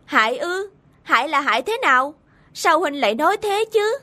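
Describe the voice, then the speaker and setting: A woman's voice: high-pitched, sing-song, moderate pace, sharp tone, heavily acted. A woman playing a vain, pushy middle-aged male official in a cartoon folktale, insistent and boastful. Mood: incredulous, boastful.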